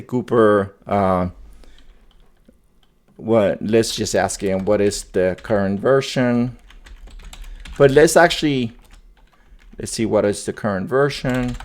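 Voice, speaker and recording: Low-pitched man giving a casual tech walkthrough. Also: a little slow, pauses often, darkish tone. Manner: informal, thinking aloud, repeats phrases. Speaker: tech video host. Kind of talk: casual tutorial screen demo.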